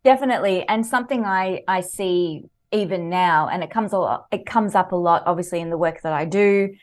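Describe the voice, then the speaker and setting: A woman's voice: lowish voice, a little fast. A woman with an Australian accent, a guest author on a podcast, telling personal stories animatedly and laughing easily. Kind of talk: conversational interview.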